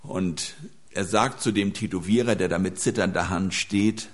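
A man's voice: low-pitched, moderate pace. A man preaching a sermon to a gathering, telling anecdotes with small hesitations.

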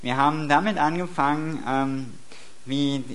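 Church interpreter in a sermon. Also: male voice, a little high, a bit bright. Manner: quick, with occasional hesitant restarts.

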